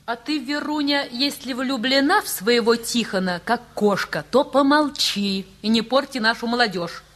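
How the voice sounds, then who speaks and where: A sharp-tongued matriarch, acted by a woman in a radio play. Her voice is high and her phrasing pointed, as if she is used to ruling the family. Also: normal speed, close to an everyday voice. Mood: cutting. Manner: pointed, scolding. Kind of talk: stage-style radio play acting.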